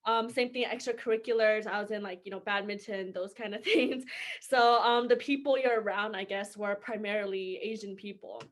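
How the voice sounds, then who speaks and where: A woman's voice: mid-range voice, fairly even intonation, normal speed. A woman, chatting casually and laughing often as podcast co-host. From San Francisco Bay Area. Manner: laughs often, many fillers. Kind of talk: casual chat.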